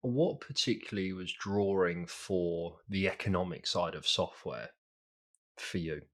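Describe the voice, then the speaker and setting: A man's voice: low-pitched, a little slow, a bit bright. A man hosting a podcast, interviewing a guest in a friendly, affirming way. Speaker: podcast host.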